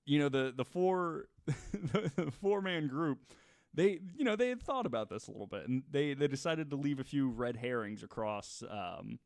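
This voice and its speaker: A man tells a story as a podcast co-host in a loose, comedic chat style. His delivery is halting and often chuckly, with an average-pitched voice. The sound is dry and close, with very little room. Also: animated, creaky, no room sound.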